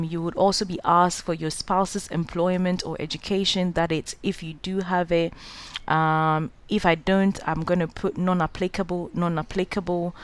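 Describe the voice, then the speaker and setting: A young woman from Ghana guiding viewers through an online application form, hesitant, with frequent "um" and "uh" fillers. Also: on the low side. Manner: hesitant, many "um" and "uh" fillers, advising directly. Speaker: scholarship guide host. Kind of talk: screen-recorded walkthrough tutorial.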